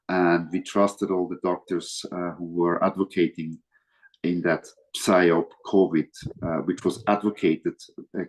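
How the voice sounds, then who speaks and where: An older man with a Swiss accent speaks in a lowish voice, formal and earnest. He answers as an interview guest over a video call, pausing often on fillers. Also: a little mellow, poor audio. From Switzerland.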